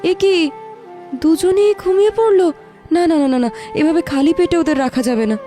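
Anxious, high-pitched young cartoon stepmother. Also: lively intonation, moderate pace, dark tone. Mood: alarmed. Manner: fretful, pleading, repeats words. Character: young caring stepmother, gentle, anxious and easily flustered. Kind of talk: melodramatic Bengali cartoon story acting. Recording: music under the voice.

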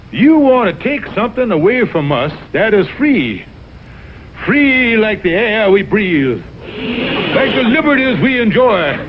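A man's voice: sits high, exaggerated voice. An actor playing a blustering, scheming colonel in a vintage animal cartoon, pompous and oratorical in his arguing. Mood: grandly oratorical. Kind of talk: vintage cartoon sitcom acting. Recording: muffled, noisy vintage TV cartoon soundtrack.

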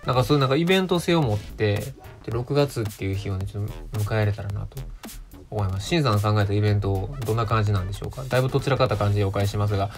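A man's voice: lowish voice, rapid-fire, faint music behind. A man around thirty with a Kansai accent, hosting a casual radio show as a solo monologue.

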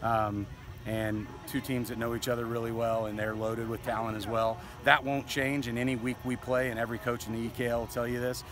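A man in his forties, a high school football coach, speaking in a measured, candid way after a loss on an outdoor field at night. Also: flat delivery. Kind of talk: post-game interview. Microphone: handheld camera close up.

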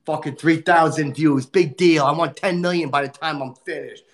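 A man playing a crude, boastful, short-tempered male comic, cursing and griping as he hosts a livestream in a nasal, gravelly put-on voice. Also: on the high side, steady tone, normal speed, natural voice. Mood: crude ranting. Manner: profane, insulting, exasperated rants. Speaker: livestream host. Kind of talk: livestream comedy character rant.

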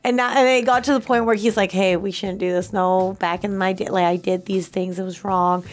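A woman co-hosting a reaction video, chatting casually and telling anecdotes in an animated way, peppered with "like". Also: mid-range voice, a little fast. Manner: animated storyteller, acts out quotes, frequent "like".

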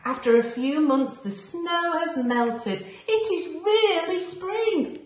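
A woman in her thirties, a school teacher, reading a picture book aloud to young children, climbing high for exciting moments and dropping soft for quiet ones. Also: slow pace, roomy sound. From England. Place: small bright room at home.

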